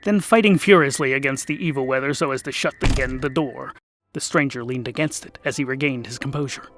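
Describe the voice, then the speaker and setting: A man narrating a comic fantasy tale as the show's host, theatrical, dropping to hushed whispers and rising to sudden bursts. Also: mid-range voice, fairly quick, a little hiss or hum. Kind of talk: scripted audiobook narration.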